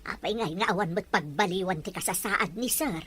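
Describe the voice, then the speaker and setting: A woman playing a sharp-tongued, gossiping older wife and mother who grumbles in an audio drama. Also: mid-range voice, a little fast, breathy edge. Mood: grumbling. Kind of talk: Ilocano audio drama acting.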